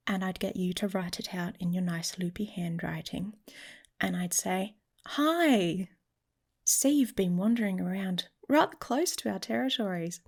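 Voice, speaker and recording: Breathy, slow-talking woman playing a guarded she-wolf. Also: mid-range voice, darkish tone. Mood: mischievous, scheming. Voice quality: breathy, husky, often dropping to a whisper. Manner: wry, casual, emotionally guarded. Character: guarded, teasing young female werewolf, devious and prickly. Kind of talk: intimate ASMR roleplay acting.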